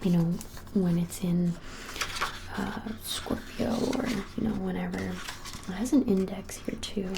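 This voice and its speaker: A woman in her twenties whispering an ASMR roleplay as a shop clerk, gently and hesitantly, with many pauses. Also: medium pitch, steady tone. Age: 20s. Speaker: ASMR creator playing a witch shop clerk. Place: plain small indoor room. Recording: clearly hissy background noise.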